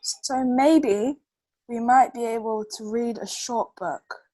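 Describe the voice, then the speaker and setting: Woman, very high pitch, slow pace, casual reading tutor for kids, storybook read-aloud style. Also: warm tone. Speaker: adult reading tutor helping a child.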